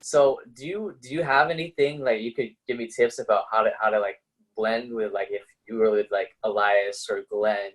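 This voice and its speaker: A man's voice: on the low side, fairly even intonation, normal speed, poor audio. A man interviewing casually as a fellow singer, phrasing and rephrasing his questions tentatively. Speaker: interviewer, choir bass singer.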